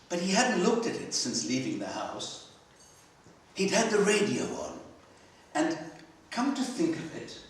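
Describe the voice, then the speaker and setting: A man gives a live, expressive reading of literary fiction, measured and deliberately paused, in the manner of a practised storyteller. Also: mid-range voice, far from the mic. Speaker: story reader at a literary festival. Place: library hall, live festival reading. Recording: very echoey hall, picked up from afar.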